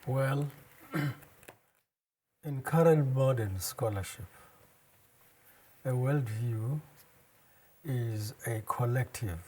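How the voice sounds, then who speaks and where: A man's voice: on the low side, darkish tone, a little hiss or hum. A man from South Africa, an education consultant, explaining thoughtfully with hesitant restarts.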